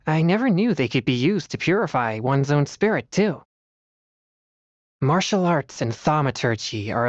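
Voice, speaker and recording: A man voicing an earnest, disciplined teenage boy exorcist in a video game, speaking in a formal, measured and slightly bashful way. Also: on the high side, no room sound, highs cut off. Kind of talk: video game character voice lines.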